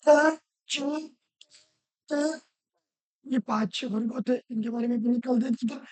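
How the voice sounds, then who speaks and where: A woman teaching Hindi grammar, hoarse from a cold, asking questions and answering them herself while repeating the rules loudly. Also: fairly high pitch, measured pace, no room sound. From Rajasthan. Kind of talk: classroom-style lesson.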